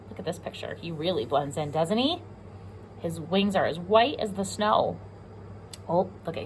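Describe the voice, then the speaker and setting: A woman's voice: lowish voice, slight background noise, poor audio. A woman with an American accent reading a picture book aloud to young children, explaining simply and asking them questions as a pre-K teacher.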